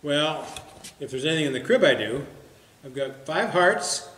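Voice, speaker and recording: A man's voice: fairly high pitch, lively intonation, moderate pace, fairly bright tone. An actor playing a chatty, needling small-town man at cards in a comic stage play, folksy and plainspoken. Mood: smugly teasing. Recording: echoey stage with faint noise.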